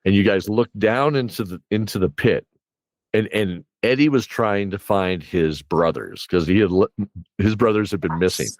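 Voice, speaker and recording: A man with a low voice, recorded close and dry, tells stories as a game master in a casual, rambling chat. He keeps slipping into quoted reactions from his players as he goes. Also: normal speed, no echo. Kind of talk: casual animated storytelling chat.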